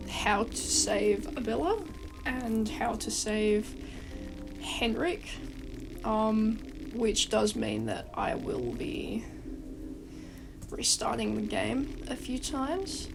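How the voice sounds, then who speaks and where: Woman, congested from a cold, slow gravelly delivery, rambling casual guide host, clear music underneath. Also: a little high, poor audio. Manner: rambling, swears casually, often restarts sentences. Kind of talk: casual rambling game walkthrough.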